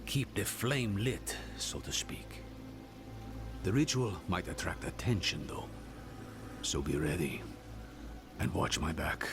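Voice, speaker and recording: An actor playing an older, sardonic spiritual guide and loyal friend in a video game, with a measured, wry delivery. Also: male voice, low voice, big pitch swings, exaggerated voice. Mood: wry, cautious. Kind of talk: dramatic video game cutscene acting. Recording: loud music under the voice.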